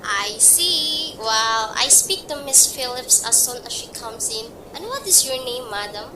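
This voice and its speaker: A formal, patient hospital receptionist, played by a woman, high-voiced and guarded. She sounds far off in an echoey room, with a clear rumble under the recording. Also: animated, measured pace. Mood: measured. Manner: formal, patient, repeats "madam". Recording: distant echoey mic, rumbling noise.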